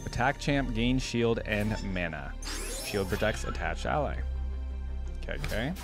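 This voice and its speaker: A man's voice: lowish voice, lively intonation, unhurried. A young adult man giving casual, conversational reaction commentary as a video host, musing as he goes. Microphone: close desk microphone. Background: faint music from the watched video.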